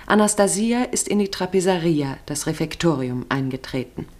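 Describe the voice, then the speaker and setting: A woman narrating a literary radio play in a serious, measured, descriptive manner. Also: lowish voice, expressive, darkish tone.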